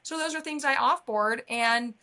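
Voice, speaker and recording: A woman's voice: a little high, normal speed, sharp tone. A woman from Nebraska hosting a podcast, chatting casually and affirming her guest, with rambling anecdotes. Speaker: podcast host. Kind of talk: casual interview chat.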